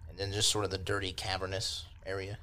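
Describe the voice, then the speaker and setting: A man's voice: sits low, monotone, fast, pushed and bright, slight background noise. A man acting as game master, narrating a tabletop fantasy game in a casual, improvised, conversational way with loose descriptions and asides.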